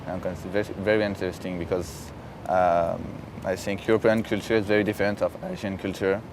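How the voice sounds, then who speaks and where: A young man from France with a French accent, an exchange student chatting outdoors in halting, simple phrases. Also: low-pitched, level tone, noisy background. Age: young adult. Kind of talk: casual chat. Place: outdoors on a campus lawn. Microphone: camera at a distance.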